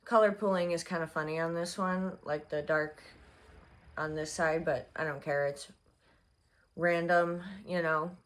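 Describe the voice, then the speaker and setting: A young woman chatting casually in a crochet vlog, her voice hoarse and congested, rambling with many fillers. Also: sits low, steady tone, a little slow. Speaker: crochet vlogger.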